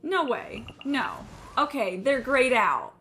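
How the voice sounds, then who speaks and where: A woman's voice: high-pitched, big pitch swings, slow pace, pushed and bright. A woman chatting casually on a gaming livestream in a rambling, sweary, self-deprecating way. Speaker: live game streamer. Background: game music.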